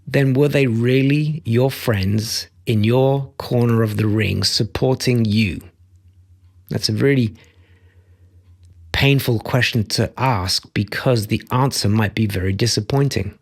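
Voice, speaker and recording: A man's voice: medium pitch, breathy edge. A middle-aged man coaching on self-acceptance, talking into a close studio mic in a home office, earnest and emphatic, repeating key phrases. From Democratic Republic of Congo. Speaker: empathy coach and author. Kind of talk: motivational talk to camera. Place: home office with bookshelves, indoors. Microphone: studio mic on boom arm, close.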